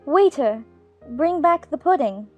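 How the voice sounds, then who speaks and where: A woman's voice: sits high, expressive, a little slow, darkish tone, soft background music, pitched above the performer's own voice. A woman voicing a polite, curious young girl in a dramatized children's audiobook, earnest and wondering. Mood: polite, determined.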